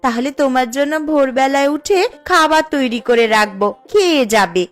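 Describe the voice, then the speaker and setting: A woman's voice: high-pitched, lively intonation, moderate pace. A woman voicing a boastful village housewife in a cartoon story, with a showy, wheedling, homely way of talking. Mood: caring. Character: boastful, envy-stirring village housewife, sweet to her husband. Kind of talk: Bengali cartoon story dialogue.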